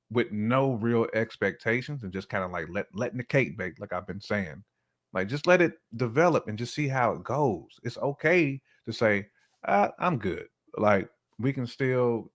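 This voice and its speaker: A man chatting casually and slangily, often acting out imagined quotes as he gives relationship opinions. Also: lowish voice. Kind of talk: casual conversational chat.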